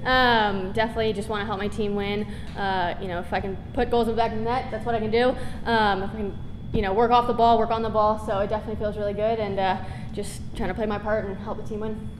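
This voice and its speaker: A woman's voice: medium pitch, flat delivery, fast talker. A young woman soccer player answering post-match press questions in a casual, upbeat way with many fillers. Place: press conference room. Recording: reverberant room with background noise.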